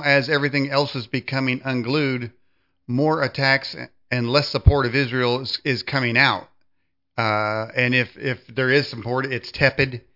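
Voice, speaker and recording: Halting male host, dull audio. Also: mid-range voice. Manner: halting, searching for words. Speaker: interview host. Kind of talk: conversational interviewing with long questions.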